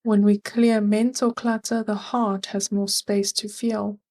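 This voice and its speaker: Woman, meditation guide, unhurried slow delivery, mid-range pitch, gentle, soothing, measured. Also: flat delivery.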